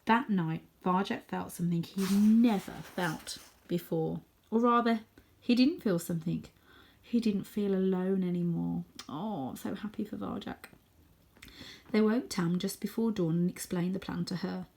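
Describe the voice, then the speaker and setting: A woman reads a children's book aloud as a storyteller. Her voice sits at a medium pitch with a clear creaky edge. She is dramatic, easing into hushed tones for suspense. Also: unhurried. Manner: dramatic, drops to hushed near-whispers for suspense.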